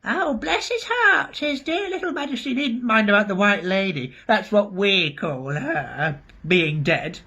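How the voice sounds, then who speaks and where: A shrill whining old hag. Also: male voice, lively intonation, measured pace, few pauses, sharp tone, slightly rough, muffled recording, full character voice. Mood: wheedling.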